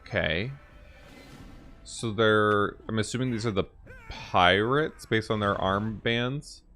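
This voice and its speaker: A man's voice: lowish voice, slow pace, bright, forceful tone, faint music behind. A young man giving casual reaction commentary as host of a video game channel.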